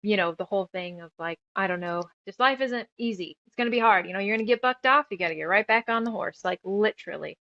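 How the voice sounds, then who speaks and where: A woman from New Mexico speaking as a guest in a casual interview, earnest and emphatic, with halting phrasing. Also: medium pitch, dry recording, highs cut off. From New Mexico, United States. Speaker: interview guest, author and radio show producer. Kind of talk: casual interview conversation, personal reflection.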